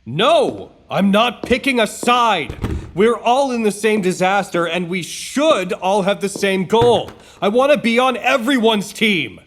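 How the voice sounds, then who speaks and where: Actor as an earnest, exasperated man, slightly high-pitched. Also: expressive, a little slow, fairly bright tone, a little hiss or hum. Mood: exasperated, defiant. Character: earnest, exasperated male site staffer caught between factions. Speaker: actor in an audio drama. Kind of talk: audio drama acting with formal log narration.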